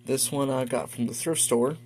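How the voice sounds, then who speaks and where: A man hosting a hobby video, giving a casual, halting, informal show-and-tell narration. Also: mid-range voice, fairly even intonation, darkish tone. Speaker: video host, radio collector.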